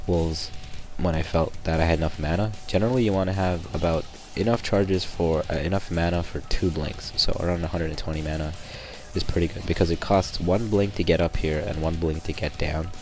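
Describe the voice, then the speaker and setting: A sick young man with a raspy voice, rambling through a casual gameplay tutorial voice-over with lots of ums. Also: deep voice, steady tone, fairly quick, hardly any pauses. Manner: rambling, many ums and restarts. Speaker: gameplay commentator. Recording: voice-over with loud background music.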